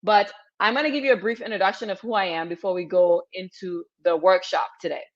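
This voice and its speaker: A woman from Cameroon, a physician in her thirties, hosting an energetic webinar and coaching her audience directly, often checking in with "okay?". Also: mid-range voice, fairly quick. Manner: upbeat, direct, repeats cues like "okay?" to the audience. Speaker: physician hosting a webinar for international medical graduates. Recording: slightly dull lo-fi webinar audio.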